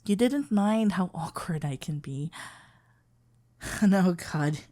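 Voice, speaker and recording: A woman playing a shy, tender florist best friend in love, talking haltingly and awkwardly in an intimate ASMR roleplay. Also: lowish voice, lively intonation, mellow. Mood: wistful, confessing. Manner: halting, stammering, awkwardly earnest. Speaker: audio roleplay performer.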